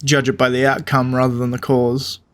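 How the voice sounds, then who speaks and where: A man's voice: mid-range voice, monotone, fairly quick. A man hosting a playthrough, giving casual commentary in brief, wry interjections. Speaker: gaming video host reacting to a story quest.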